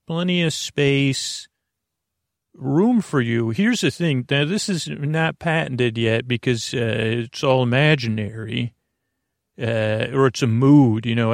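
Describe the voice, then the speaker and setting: A man with a creaky voice hosting a sleep podcast in a rambling, improvised monologue, halting and stammering as he restarts his sentences. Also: mid-range voice, no room sound, studio quality. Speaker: host of a bedtime-story podcast to help listeners sleep.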